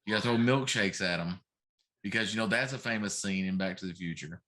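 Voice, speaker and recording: A man's voice: on the low side, fairly quick, pushed and bright. A middle-aged man hosting a casual talk show, chatting about games in an emphatic, complaining way.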